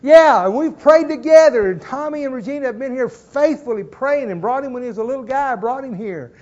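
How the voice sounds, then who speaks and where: High-voiced preacher, folksy. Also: male voice, animated, fairly quick, darkish tone, muffled recording. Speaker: pastor. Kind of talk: sermon. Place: church.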